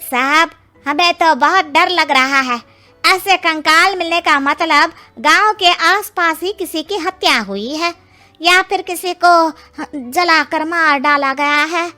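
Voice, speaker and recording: A woman's voice: very high voice, slow, bright, forceful tone, soft background music, full character voice. A woman voicing a frightened, anxious villager in an acted story. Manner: fearful, anxious. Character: frightened villager reporting to police.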